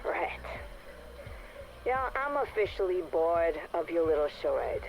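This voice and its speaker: An actress playing a tough, sardonic facility worker with a blunt, sarcastic, slangy way of talking in a game audio log. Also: female voice, lowish voice, expressive, measured pace, poor audio.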